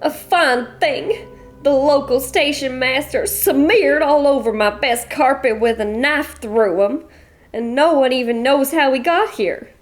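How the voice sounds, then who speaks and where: A woman playing a brash, wealthy chateau owner in a radio play, blunt and complaining. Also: sits high. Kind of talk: community radio theater acting.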